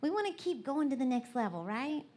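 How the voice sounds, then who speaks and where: A woman's voice: sits high, big pitch swings, brisk, darkish tone. A woman with a Southern US accent giving a bubbly, folksy, conversational motivational talk to a live church gathering. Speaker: guest motivational preacher. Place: large indoor church event.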